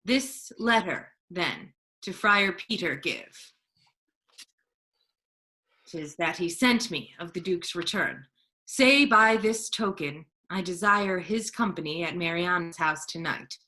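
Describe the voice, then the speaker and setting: Woman as a scheming duke dressed as a friar, lowish for a woman, bright n forceful, stagey shakespeare verse. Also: expressive, slow, full character voice. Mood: instructing. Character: scheming duke disguised as a holy friar, male, authoritative.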